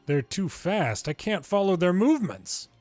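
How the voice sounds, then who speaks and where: Higher-voiced man reading game dialogue over music. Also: sing-song, moderate pace, a little mellow, dry recording. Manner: acts out each character's lines in turn. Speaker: video game longplayer reading the story aloud. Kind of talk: dramatic read-aloud of game dialogue.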